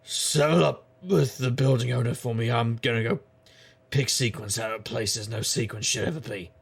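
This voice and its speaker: An actor playing a wry, world-weary supernatural private detective, narrating a noir audio drama in a gravelly, husky, deadpan voice. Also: male voice, mid-range voice, moderate pace, slight background noise, natural voice. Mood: grim, intense.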